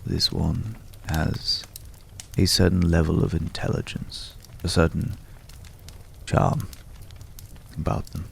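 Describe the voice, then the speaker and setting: A man acting a mysterious, courteous stranger in an ASMR roleplay, speaking in a hushed, measured way with lingering pauses and a deep, gravelly voice. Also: flat delivery, slight background noise, full character voice. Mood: grave warning. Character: mysterious, courteous male stranger visiting a hunter's night camp. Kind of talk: ASMR roleplay, slow dramatic monologue.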